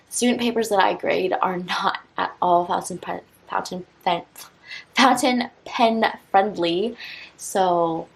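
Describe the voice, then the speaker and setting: A young woman in her twenties chats casually through an unboxing, drifting and restarting her sentences. Her delivery is slow and unhurried. Her voice is husky with a gravelly edge. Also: lowish voice, low-quality recording. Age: 20s. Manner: relaxed, rambling, with many fillers and restarts. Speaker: teacher and stationery vlogger.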